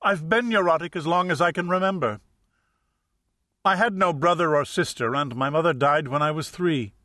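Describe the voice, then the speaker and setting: A male narrator acts out a nervous, well-off middle-aged woman in a detective audiobook. She sounds hesitant, earnest and plaintive, with a bright tone in a dry recording. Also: on the high side, moderate pace, no echo, voice pushed up. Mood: plaintive, anxious. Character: anxious, ashamed middle-aged wealthy woman client.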